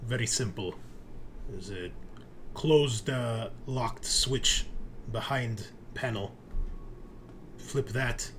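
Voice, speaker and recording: A man voicing a frustrated, terse female crew technician in a tabletop game, speaking in halting, curt phrases. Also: medium pitch, a bit bright, a little hiss or hum. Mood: matter-of-fact.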